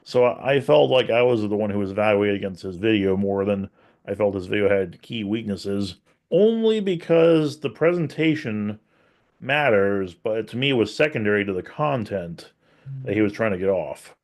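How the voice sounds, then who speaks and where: A man's voice: fairly low pitch, moderate pace, darkish tone. A man co-hosting a podcast from a virtual meeting, giving a thoughtful, self-deprecating evaluation of a speech, with frequent restarts. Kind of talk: casual evaluative discussion. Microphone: video call.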